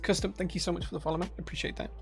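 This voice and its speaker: A man's voice: high-pitched, flat delivery, very fast, gravelly, music in the background. A man with a broad Yorkshire accent, chatting casually on a live stream from his workshop and reading viewer comments aloud with lots of uhs. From Yorkshire, England. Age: adult, married. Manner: friendly, chatty, many uhs and restarts. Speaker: streamer and keyboard builder.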